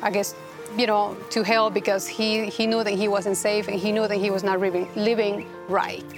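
A woman from Rica, a guest telling her story in a halting, earnest way with many restarts. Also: mid-range voice, little pitch movement, music in the background. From Costa Rica. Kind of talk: personal testimony interview.

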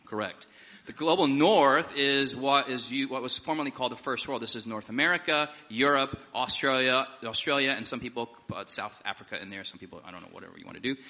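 A male pastor introduces his panel with easy humor and a casual, chatty delivery. His voice is very bright and sharp. It rings with a long hall reverb and sounds dull and lo-fi. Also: mid-range voice. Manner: relaxed, joking, conversational. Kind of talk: opening prayer then panel introductions. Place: seminar hall with live audience.

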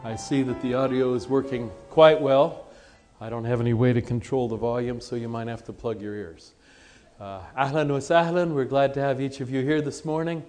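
A man's voice: medium pitch, steady tone, moderate pace, a little mellow, faint music behind. A man who grew up in Lebanon presenting an informal religious seminar in a conversational, self-deprecating way. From Lebanon, born in Egypt to missionary parents. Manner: conversational, self-deprecating, addresses listeners with questions. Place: conference seminar room with audience.

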